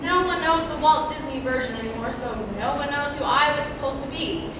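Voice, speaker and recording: Young woman acting vain, high-voiced stage diva, distant echo. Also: fairly quick, lightly acted. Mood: petulant. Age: teens. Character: vain, self-pitying, ageing actress sister, imperious. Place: indoor theatre stage at a live performance. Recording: echoey noisy auditorium, distant microphone.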